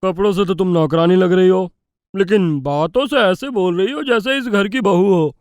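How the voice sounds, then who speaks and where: A woman's voice: mid-range voice, moderate pace, a little mellow, close to an everyday voice. A woman voicing a rude, mocking rich man at a party in a cartoon story.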